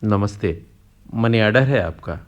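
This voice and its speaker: An actor playing a kindly, concerned middle-aged postman in a Hindi radio play, speaking gently and coaxingly. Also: on the low side, unhurried, lo-fi sound, close to an everyday voice. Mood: friendly.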